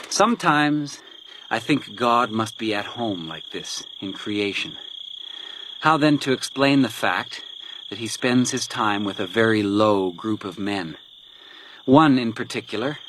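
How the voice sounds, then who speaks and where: A man's voice: on the low side, lightly acted. An actor playing a menacing, vengeful man with a taunting, theatrical delivery in a detective radio drama. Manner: taunting, theatrical, deliberate when reading letters aloud. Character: menacing vengeful man, grieving brother, cruelly mocking and taunting. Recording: noisy night outdoor ambience with insects.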